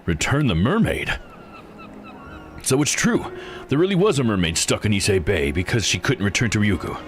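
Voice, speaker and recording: A man's voice: lowish voice, big pitch swings, normal speed. A man voicing a polite, curious investigator in a visual novel, courteous and inquisitive. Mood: intrigued. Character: polite, curious investigator probing an old mystery. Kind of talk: reading visual novel dialogue aloud. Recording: music under the voice. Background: game music.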